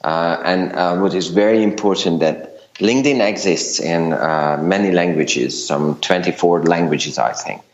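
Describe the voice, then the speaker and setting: Middle-aged Hungarian-accented man, very low voice, unhurried and halting, interview guest. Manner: hesitant, many fillers and restarts. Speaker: guest, LinkedIn consultant. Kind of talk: casual interview talk. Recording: roomy, dull lo-fi recording.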